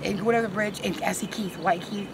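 A woman's voice: mid-range voice, fairly even intonation, fast talker. A middle-aged woman, ranting profanely and rambling on the street to an interviewer. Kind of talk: heated street talk. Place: outdoor parking lot. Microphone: camera phone held by interviewer. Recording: noisy outdoor phone recording.

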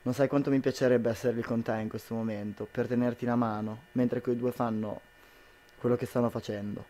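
A young man acts out an anxious, loving husband in a radio play. His voice is medium in pitch, with an earnest, tender delivery. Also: level tone, fairly quick, dark tone, natural voice. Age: young adult. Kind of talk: amateur radio drama acting.

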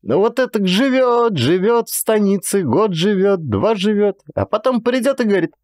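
A man voicing a frail, gentle old Cossack mother who rambles in folksy rural speech in a dramatized audiobook. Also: sits high, sing-song, moderate pace, no room sound, studio quality, voice pushed up. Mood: resigned fretting. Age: older.

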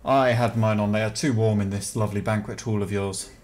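A man's voice: fairly low pitch, fairly even intonation, brisk, a little mellow, a little hiss or hum. A man role-playing a dwarf in a game, speaking in a mock-formal, playful way. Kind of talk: in-character tabletop-style role-play banter.

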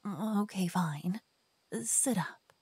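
A woman voicing a cocky, playful lover who whispers and teases softly in an ASMR roleplay. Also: mid-range voice, extremely slow, husky, dry recording. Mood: playful teasing. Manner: playful, teasing, soft whispering. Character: cocky playful young woman, a devoted lover waking her partner. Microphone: binaural mic.

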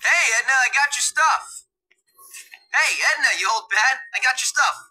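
An actor voicing a cocky, sarcastic teenage schoolboy in a video game, with dry wisecracks. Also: male voice, extremely high pitch, normal speed, bright, forceful tone, soft background music, full character voice, low-quality recording. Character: cocky teenage boy, sarcastic new student. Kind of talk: video game cutscene acting and narration.

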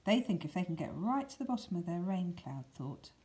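A woman reading a children's picture book aloud, with deliberate, expressive emphasis and some hushed words. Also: fairly low pitch. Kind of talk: expressive scripted storybook narration for children.

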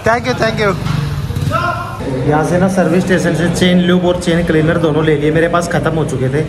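A chatty man in his thirties narrates his motorbike trip straight to the camera, chuckling now and then. His voice sits a bit high for a man. Loud engine and road traffic noise from a bike-mounted camera nearly swamps him outdoors. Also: moderate pace. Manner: chatty, talks to camera while riding, chuckles often. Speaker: motorcycle travel vlogger. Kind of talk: casual travel vlog narration. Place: outdoors on a busy highway and at a roadside workshop. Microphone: helmet or bike-mounted action camera. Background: road traffic and vehicles.

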